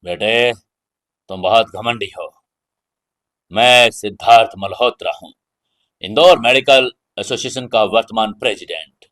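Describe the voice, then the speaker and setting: A man's voice: on the low side, unhurried, pauses often, a bit bright, voice pushed down. Audio drama official, man narrator acting: middle-aged man, arrogant, cold, stern.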